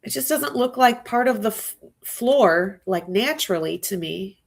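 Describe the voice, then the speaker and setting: Slow-talking, stuffy-nosed woman. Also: medium pitch, animated, gravelly. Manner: musing aloud, addressing viewers directly. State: stuffy nose. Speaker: true crime livestream host. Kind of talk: casual live-stream commentary.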